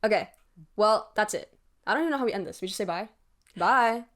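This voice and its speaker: A woman's voice: mid-range voice, animated, fairly quick, a bit bright. A young woman co-hosting a podcast in casual chat, informal and hedging as she thinks aloud. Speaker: podcast co-host.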